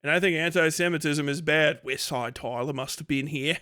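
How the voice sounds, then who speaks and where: Higher-voiced man, casual profane livestreamer. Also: a little fast, bright, forceful tone. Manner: profane, slangy, rambling. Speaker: livestream host. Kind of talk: casual unscripted livestream commentary.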